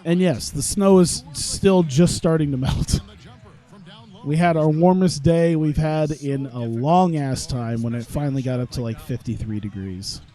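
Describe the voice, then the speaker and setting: A man's voice: a little high, unhurried, mellow. A man chatting casually while playing a video game at his computer, rambling with lots of fillers, stuffed up from his sinuses. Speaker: gaming YouTuber. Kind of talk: casual gameplay commentary. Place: home room at his computer.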